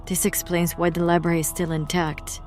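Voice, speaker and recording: A woman's voice: on the low side, level tone, brisk, a little mellow, soft background music, close to an everyday voice. A woman acting in an audio drama as a guarded, wry young woman who knows occult rituals and explains them in an understated way. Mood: explaining, serious.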